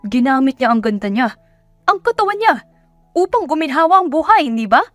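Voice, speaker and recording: A woman acts out a furious middle-aged mother from the provinces in an audio drama. Her voice climbs very high as she shouts and scolds with open contempt. It is recorded close and dry over music. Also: big pitch swings, fairly quick, dry recording. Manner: shouting, scolding, spitting out harsh questions. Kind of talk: Tagalog audio drama acting.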